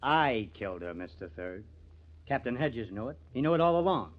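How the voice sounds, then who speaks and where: An actor in an old-time radio crime drama playing a bitter, sardonic newspaper artist who has turned murderer. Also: male voice, mid-range voice, big pitch swings, normal speed, lightly acted. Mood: bitter, confessing. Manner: sardonic, menacing. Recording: muffled vintage radio recording.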